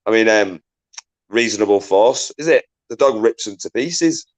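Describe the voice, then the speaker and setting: A man hosting a casual live stream, swearing freely, laughing a lot and bantering with callers in a loud voice. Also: animated, normal speed. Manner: says he has a deep, loud voice. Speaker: livestream host.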